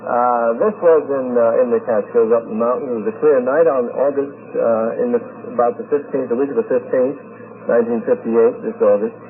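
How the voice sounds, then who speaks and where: A man from Brooklyn giving an earnest, halting eyewitness account on a vintage 1950s radio recording. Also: mid-range voice, phone-quality sound, a little hiss or hum. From Brooklyn, New York. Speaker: convention attendee, eyewitness interviewee.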